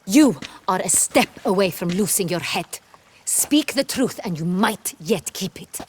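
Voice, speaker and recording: A woman playing an imperious noble duchess in a video game, formal and commanding, quick to threaten. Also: medium pitch, expressive, slight background noise, close to an everyday voice. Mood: cold, disappointed. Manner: formal, commanding, royal diction. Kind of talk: dramatic video game acting.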